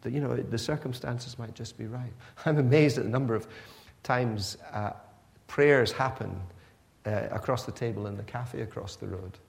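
A man's voice: mid-range voice, moderate pace, creaky. Guy born in pakistan giving a sermon, earnest and chatty, keeps restarting, echoey church hall. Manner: earnest, conversational, with frequent restarts. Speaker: preacher.

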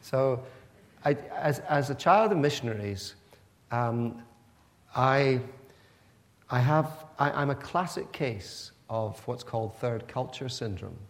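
A man preaching with an earnest, conversational delivery, often restarting his sentences. His voice sits at an ordinary middle pitch. A long reverb trails behind him, the sound of a church hall. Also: lively intonation, takes it slowly. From Pakistan. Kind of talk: sermon.

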